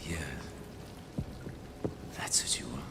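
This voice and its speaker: A man's voice: slow pace, close to an everyday voice. An actor playing a menacing Nazi officer with a German accent, mocking his prisoner theatrically, often close to a whisper. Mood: mocking menace. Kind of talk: dramatic game cutscene acting.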